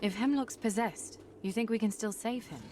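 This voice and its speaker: A woman's voice: on the high side, normal speed, noisy background, close to an everyday voice. An actress voicing a pragmatic, hardened warrior woman in a fantasy video game, serious and direct. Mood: earnest. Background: game music.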